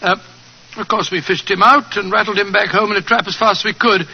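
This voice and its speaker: An actor playing an eager, kindly, bumbling English doctor in 1940s radio drama style, fussy and hesitant. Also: male voice, sits high, steady tone, a little fast, slightly rough. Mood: polite, earnest. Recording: dull old 1940s radio recording.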